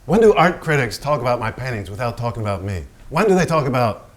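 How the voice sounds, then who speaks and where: A volatile, boastful painter, played by a man in a staged play reading. He swaggers and needles in a mid-pitched voice, circling back on his own phrases. His talk is crude, with insecurity under the bragging. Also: very animated, quick pace, close to an everyday voice. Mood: indignant. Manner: swaggering, needling, repetitive, profane. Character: brash, volatile, vulgar American male painter, insecure and boastful. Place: staged reading in a barn venue.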